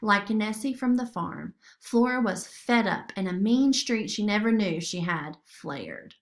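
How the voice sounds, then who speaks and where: A woman's voice: mid-range voice, lively intonation, measured pace, gravelly. A woman narrating a children's story expressively, as a storybook reader.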